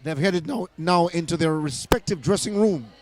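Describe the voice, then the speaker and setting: A hoarse man doing live netball commentary in an indoor arena, excited and often restarting his phrases. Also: on the high side, normal speed.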